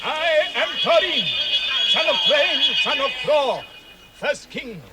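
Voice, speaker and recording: An actor playing a proud, exasperated dwarf leader in a commanding, declamatory vintage radio drama style. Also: male voice, very high-pitched, animated, slow pace, heavily acted. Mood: proclaiming. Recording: noisy old radio drama recording.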